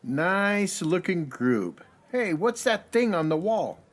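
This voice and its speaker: An actor playing a playful, chatty man with a jovial, drawn-out storytelling manner. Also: high voice, sing-song, full character voice. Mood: curious, friendly. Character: playful, chatty American man telling a long joke.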